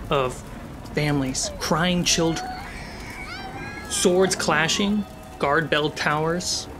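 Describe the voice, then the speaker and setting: A woman narrating a tabletop roleplaying game as game master, with dramatic, vivid storytelling. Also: low voice. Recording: noisy, with ambience behind.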